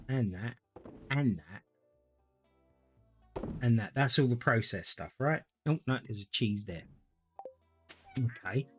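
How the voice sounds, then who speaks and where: A man's voice: mid-range voice, fairly bright tone. A man, chatting casually and thinking aloud as he streams a game to viewers. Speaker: gaming streamer. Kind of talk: casual live-stream gameplay commentary.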